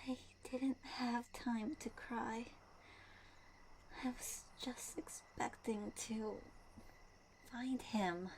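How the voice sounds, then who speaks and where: Casting: woman as gentle young traveler, grieving, highish half-whispered voice, very slow and halting, crickets behind. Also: fairly even intonation, low-quality recording. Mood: tearful, grieving. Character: gentle young female traveler, grieving her lost brother. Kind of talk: intimate ASMR audio roleplay acting. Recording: faint noise, crickets behind.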